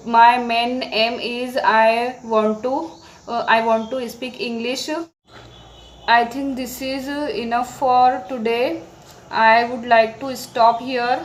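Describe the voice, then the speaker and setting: Slow, halting Indian woman, fairly even pitch. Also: low-quality recording. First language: Marathi. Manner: halting, simple learner's English. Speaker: English-practice vlogger. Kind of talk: informal talk to viewers. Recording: background noise.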